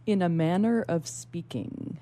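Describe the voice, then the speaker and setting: A woman reading a science fiction short story aloud, dramatic yet measured and precise. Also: on the low side, warm tone, no echo. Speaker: guest story reader.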